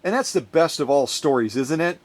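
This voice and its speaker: A man with a voice slightly on the higher side talks casually to his viewers in a workshop vlog. He is a lively, chatty storyteller who wanders off on tangents and rarely stops talking. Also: brisk. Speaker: sewing machine restorer. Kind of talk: casual unboxing vlog talk. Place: workshop, recording his own video.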